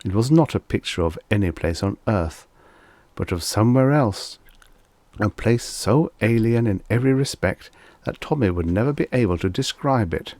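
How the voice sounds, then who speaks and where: A man reading a scripted short story as an audiobook narrator, in a measured, expressive storytelling manner. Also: lowish voice, no room sound.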